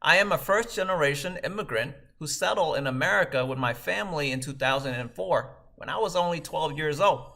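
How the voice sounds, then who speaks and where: Man, 30s, from Vietnam, fairly high and bright voice, scripted measured delivery. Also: expressive, creaky. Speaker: startup co-founder and CEO. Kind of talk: prepared video message.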